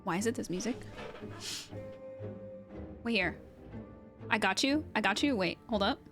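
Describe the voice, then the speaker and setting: A woman's voice: medium pitch, expressive, unhurried. A woman livestreaming a game with casual, blunt, slangy and sweary reactions. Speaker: streamer. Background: game music.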